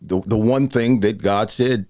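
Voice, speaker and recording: Loud, animated man hosting a studio chat. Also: fairly low pitch, a little slow, no room sound. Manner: loud, animated, playful and talkative. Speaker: podcast host. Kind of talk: casual interview chat. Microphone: studio mic.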